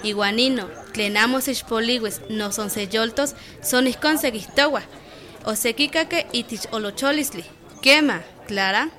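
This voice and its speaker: A helpful village woman in a radio drama. Her voice is high. She comes across as the informed one, explaining things to her friends. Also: measured pace, sharp tone. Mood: friendly, informative. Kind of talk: radio soap opera acting.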